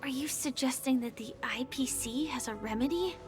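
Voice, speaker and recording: Woman acting a high-voiced, guarded young warrior woman. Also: moderate pace, music in the background, natural voice. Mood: resigned, guarded. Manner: calm, soft-spoken, serious, careful. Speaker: voice actor in a video game. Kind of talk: scripted game dialogue.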